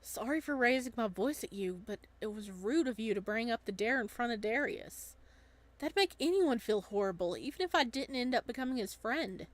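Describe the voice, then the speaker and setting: Visual novel lead, male, early twenties, earnest and easily flustered, higher voice woman performer. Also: expressive, normal speed, no room sound, close to an everyday voice. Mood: indignant. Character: timid, earnest, lovestruck young man of twenty-one. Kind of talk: visual novel dialogue reading.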